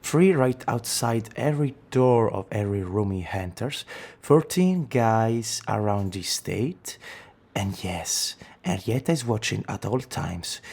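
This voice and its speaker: A man playing a loyal, patient mafia right-hand man in an audio roleplay, gravelly and husky, with a dry, teasing delivery. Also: on the low side, a little mellow, full character voice. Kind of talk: scripted audio roleplay acting.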